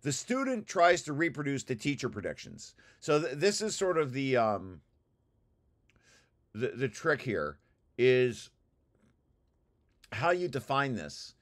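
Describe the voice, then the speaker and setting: Man talking through technical material, haltingly. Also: mid-range voice, very animated. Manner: hesitant, halting explanations with repeated words. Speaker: presenter reviewing own technical paper. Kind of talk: informal technical walkthrough.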